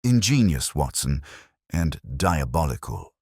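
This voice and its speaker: A man voicing a brilliant, grave detective in an audiobook, speaking deliberately and precisely. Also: extremely low pitch, very animated, darkish tone, breathy edge, dry recording, studio quality, heavily acted. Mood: grimly certain. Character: brilliant, grave male detective, coolly analytical. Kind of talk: audiobook character dialogue.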